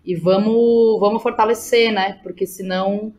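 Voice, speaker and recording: A Brazilian woman in a casual podcast conversation. Her voice sits at a middle pitch. She speaks colloquially, with effusive, emphatic delivery. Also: steady tone, moderate pace, darkish tone, a little hiss or hum. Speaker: podcast guest, playwright and educator.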